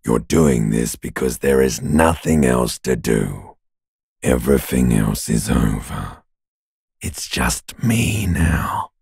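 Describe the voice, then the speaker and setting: A man voicing a morbid, taunting undead creature in a video game, with a gravelly, raspy growl and a drawling, crude, mockingly intimate manner. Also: a bass voice, lively intonation, slow pace, darkish tone, dry recording, clean studio sound, full character voice. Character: male ancient reptilian brain and talking corpse, taunting, morbid. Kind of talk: video game character voice acting.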